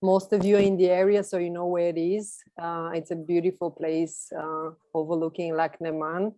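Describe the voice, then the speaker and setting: A woman's voice: lowish voice, little pitch movement, normal speed, dark tone, no room sound. A woman, giving a chatty, enthusiastic personal talk as a guest speaker on an online video call. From Bulgaria. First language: Bulgarian. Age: 30s. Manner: chatty, enthusiastic, laughs lightly while talking.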